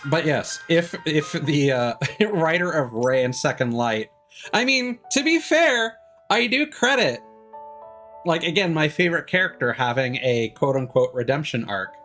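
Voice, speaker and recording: A man doing casual live game commentary as a streamer, thinking aloud in a rambling, halting way. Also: a little high, expressive, a bit bright. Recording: music behind the voice. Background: game music.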